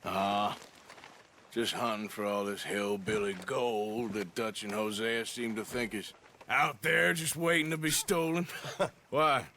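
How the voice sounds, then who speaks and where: An actor playing a gruff, wry American outlaw gunslinger in a video game cutscene. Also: male voice, on the low side, fairly even intonation, measured pace, pushed and bright, slight background noise. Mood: wry, relaxed. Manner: wry, rough-spoken.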